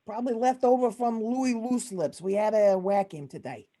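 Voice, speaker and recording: A woman in an amateur old-time radio comedy, playing an exasperated, henpecked middle-aged mob boss father with gruff mobster slang. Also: mid-range voice, moderate pace, warm tone, close to an everyday voice. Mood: defensive.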